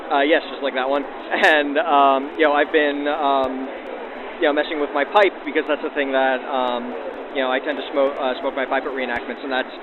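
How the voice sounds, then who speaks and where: A man interviewed as a war reenactor, giving casual, hesitant answers full of filled pauses, through a 1940s radio filter. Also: medium pitch, little pitch movement. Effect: aged 1940s radio filter. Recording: tinny vintage radio sound, clear background noise.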